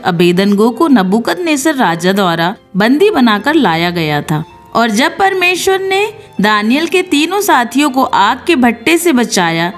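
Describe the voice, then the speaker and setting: High-voiced, animated woman narrating Bible stories. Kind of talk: scripted devotional narration. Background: background music.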